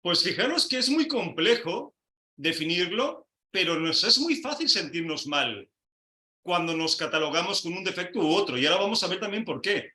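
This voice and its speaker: A man's voice: high-pitched, sing-song, moderate pace, bright, forceful tone, echoey room. A man hosting a casual panel discussion, putting questions to each guest and backing them with short "ujú" sounds. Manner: leads with questions, frequent "ujú" backchannels. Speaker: host.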